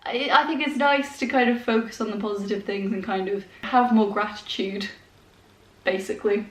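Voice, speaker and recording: A woman's voice: a little high, far from the mic. A woman in her twenties with a congested head cold, chatting casually to the camera in a sparsely furnished room at home. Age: 20s. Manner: chatty, rambling, with fillers and small laughs. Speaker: vlogger. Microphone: handheld compact camera. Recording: roomy echo, voice a bit off-mic.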